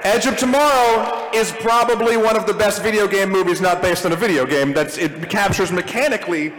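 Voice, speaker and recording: A man with a notably high voice hosts a live podcast panel. His talk is casual and conversational as he moderates questions. The sound rings with the long reverb of a convention hall. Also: a little fast, fairly bright tone. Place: large convention panel room with audience.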